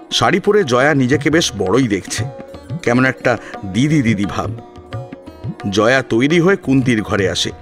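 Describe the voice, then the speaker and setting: A male storyteller narrates a scripted tale. His delivery is animated, with a mid-pitched voice that moves freely. Instrumental music with plucked strings plays faintly behind him.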